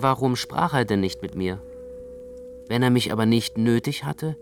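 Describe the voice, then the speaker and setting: A man reading literary first-person narration in a measured, carefully phrased way. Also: mid-range voice, slight background noise.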